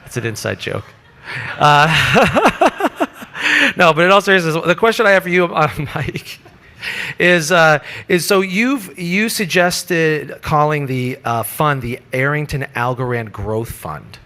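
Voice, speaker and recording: A man moderating a conference panel, easygoing and a little halting, laughing along with the panelists. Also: on the high side, lively intonation, bright, forceful tone. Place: conference stage.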